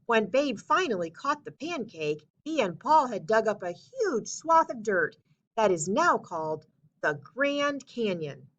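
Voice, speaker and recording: Animated, bright-voiced woman reading a story. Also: on the high side, unhurried, highs cut off. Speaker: story reader. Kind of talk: children's storybook read-aloud narration.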